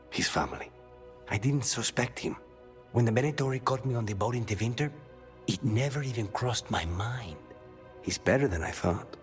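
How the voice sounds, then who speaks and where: Man acting a brooding male game assassin, low voice, dry wit, measured clipped phrases, music underneath. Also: natural voice.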